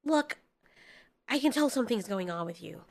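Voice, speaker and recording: A woman's voice: on the high side, expressive, normal speed, creaky, darkish tone. A woman voicing an anxious, caring female giant moth with an earnest, hesitant, pleading manner in an audio story. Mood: concerned.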